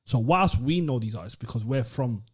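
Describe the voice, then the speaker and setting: A man's voice: mid-range voice, animated, normal speed, slightly rough. A British man in casual podcast conversation, questioning and arguing his point. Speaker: podcast host. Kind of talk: casual discussion.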